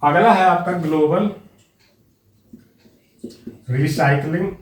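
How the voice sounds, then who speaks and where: Man, Indian accent, teacher, repetitive drilling, fairly high voice, echoey room. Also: slow. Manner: repetitive, drilling facts, addresses students directly. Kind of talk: exam-prep lecture.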